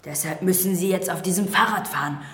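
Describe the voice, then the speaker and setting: A man's voice: high voice, fairly quick, a bit bright. Bossy twelve-year-old boy, echoey. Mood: urging. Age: young adolescent. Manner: insistent, blunt, commanding. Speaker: actor in a radio play. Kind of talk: naturalistic radio drama acting. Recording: echoey reverberant room, faint noise.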